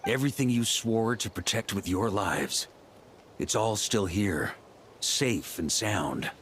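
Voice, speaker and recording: An actor voicing a rugged, resolute veteran knight grandmaster in a video game. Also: male voice, fairly low pitch, takes it slowly, fairly bright tone, slight background noise. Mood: solemn, tender.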